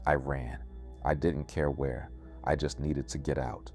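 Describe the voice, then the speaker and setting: A man narrating a scripted first-person horror story in a measured, ominous way, with music behind him. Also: extremely low pitch, flat delivery, a little mellow. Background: music bed.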